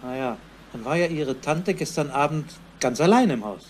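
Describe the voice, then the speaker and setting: Guy playing dry musing police detective in a radio krimi, higher male voice, noisy background. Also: animated, normal speed, natural voice. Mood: dry, probing. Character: male police detective, unhurried, dryly probing investigator. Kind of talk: German crime radio play.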